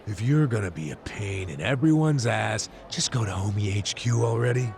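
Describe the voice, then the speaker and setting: A gravelly, blunt tough guy. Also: fairly low pitch, expressive, moderate pace, a little mellow, faint music behind. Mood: goading. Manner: brusque, needling. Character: gruff, blunt, goading tough man. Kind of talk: video game cutscene acting.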